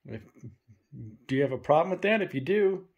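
A young man filming a ghost-hunting vlog in a dark abandoned farmhouse, talking to spirits and loudly challenging them. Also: on the high side, animated, a little fast, breathy edge, highs cut off. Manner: loud provoking challenges to the spirits. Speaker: paranormal investigator.

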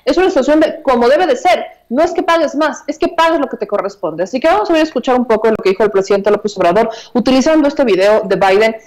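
Mexican woman, 30s, news commentary, emphatic, slightly high voice, earphone mic. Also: lively intonation, fast talker, hardly any pauses, darkish tone, rough recording. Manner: emphatic, conversational, repeats herself. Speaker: political commentator. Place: small room at home.

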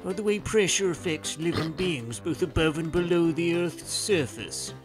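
Man doing an earnest, measured, fatherly hero. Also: on the high side, music in the background, natural voice. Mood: patient, earnest. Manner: measured, didactic. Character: earnest, fatherly millionaire hero, lecturing his ward. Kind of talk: comic dub character acting.

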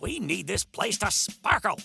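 An older cowboy showman for a comic dub, blustering and anxious. The voice is gravelly and swings widely in pitch as he bosses folks around theatrically. Loud music plays underneath. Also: big pitch swings, a little slow, full character voice. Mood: irritated, bossy. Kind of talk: comic dub character acting.

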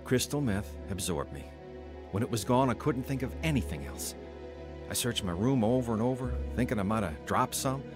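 A man's voice: medium pitch, expressive, moderate pace, music in the background, lightly acted. An actor playing a remorseful man, earnestly narrating his troubled, drug-addicted youth in a radio drama. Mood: troubled, regretful. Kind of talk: scripted first-person radio drama narration.

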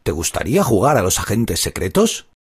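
A man's voice: fairly low pitch, wildly swooping pitch, moderate pace, breathy edge, full character voice. A man voicing a kindly, thoughtful hippo father in a children's cartoon. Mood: helpful, explaining.

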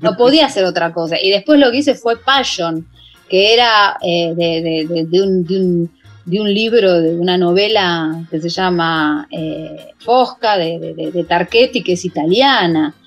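A woman's voice: on the low side, a little slow, soft background music. A woman in her forties with an Argentine accent, chatting as a guest in an interview over a video call and often restarting her sentences. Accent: Argentine Spanish. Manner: animated, many restarts and fillers. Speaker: guest, musical theatre actress. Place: home room with bookshelves.

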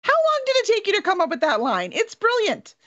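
A middle-aged woman hosting a casual interview in an expressive, chatty way. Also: very high voice, a little fast. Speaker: host, interviewer. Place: indoor home room. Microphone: video call. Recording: dull, lo-fi video call audio.

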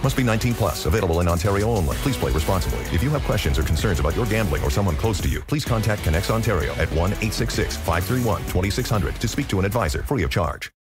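A man's voice: low voice, animated, fast talker, few pauses, over music. A man reading a scripted advertising disclaimer as an announcer.

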